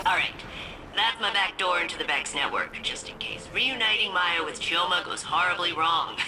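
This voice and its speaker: A woman's voice: lowish voice, moderate pace, noisy background, close to an everyday voice. An actress playing a quirky, anxious, chatty female AI companion in a video game, with nervous, wry asides. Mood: eager, wry. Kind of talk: video game character dialogue.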